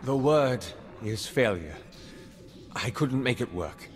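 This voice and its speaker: Guilty, earnest agent in a hall. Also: male voice, mid-range voice, very animated, takes it slowly. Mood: guilty, subdued. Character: guilt-ridden male intelligence agent, earnest and apologetic. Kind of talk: video game cutscene acting. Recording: reverberant hall, music underneath.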